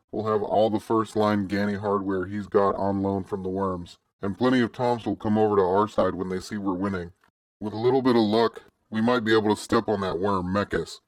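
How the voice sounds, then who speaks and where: A grim rebel chief with a grating, harsh edge, as a man acts him out in an audiobook. He talks low and clipped, laying out orders like commands. The sound is dry and close. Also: dry recording, natural voice.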